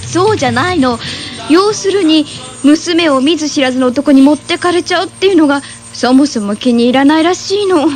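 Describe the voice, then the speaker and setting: An actress playing a sweet, headstrong young daughter in love, cutesy and pleading, in a comic radio drama. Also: female voice, high-pitched, animated, a little fast, darkish tone, soft background music. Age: young adult.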